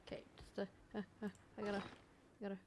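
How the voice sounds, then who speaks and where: A woman's voice: medium pitch, completely flat delivery, quick pace. Woman gamer commenting casually, faint noise. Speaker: gamer, video creator. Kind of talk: casual let's-play reaction commentary.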